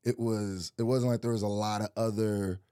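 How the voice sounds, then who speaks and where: Laid-back young man with a low, mellow voice. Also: moderate pace, smooth, dry recording, studio quality. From Nebraska, USA, early childhood in Nigeria. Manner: relaxed, rambling, many likes and restarts. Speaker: guest, rapper and reaction YouTuber. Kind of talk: casual interview chat.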